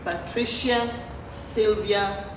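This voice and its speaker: A woman playing an imperious, scheming middle-aged wife and mother in a stage play, theatrical and deliberate. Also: medium pitch, fairly even intonation. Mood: mocking, calculating. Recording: distant, echoey, noisy theatre recording.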